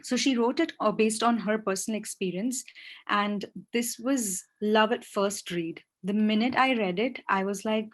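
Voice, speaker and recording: A young woman from Dubai whose first language is Sindhi speaks in a deliberate, explanatory way. Her voice sits at a middle pitch. She talks like a judge sharing her views. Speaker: student poetry judge. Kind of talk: casual interview answers.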